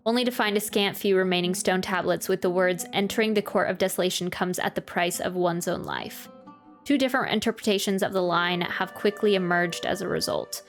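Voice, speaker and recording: A woman doing a casual game playthrough, with an average-pitched voice. She moves between reading on-screen text aloud and commenting on it. Faint music plays underneath. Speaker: game reaction streamer.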